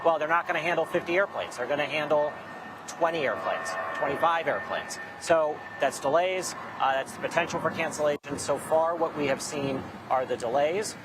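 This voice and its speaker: Higher-pitched man reporting live amid background noise. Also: normal speed. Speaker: transportation correspondent reporting live. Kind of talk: live news report, conversational explaining. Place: live remote at an airport.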